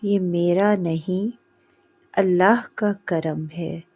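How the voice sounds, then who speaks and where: A woman's voice: on the low side, fairly even intonation, a little mellow, slightly rough. A woman narrator voicing a humble, pious poor man in a moral story, speaking in a pleading, reverent way. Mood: humbly grateful.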